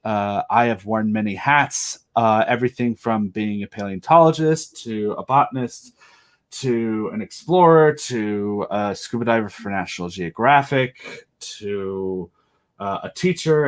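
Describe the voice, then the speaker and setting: A man from the southern United States chatting casually as a podcast guest, joking and telling personal stories. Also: mid-range voice.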